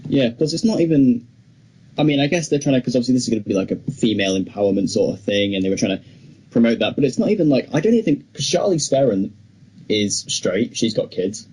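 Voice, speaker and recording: A man's voice: medium pitch, fast, a little mellow. A young British man co-hosting a film podcast, chatting casually as he reviews movies. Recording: clearly noisy background ambience.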